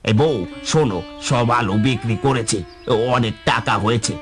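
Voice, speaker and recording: A man's voice: mid-range voice, moderate pace, fairly bright tone, faint music behind, close to an everyday voice. A man playing a weary, worried village farmer and husband in a cartoon, with a plaintive manner. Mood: pleased. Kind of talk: cartoon voice acting.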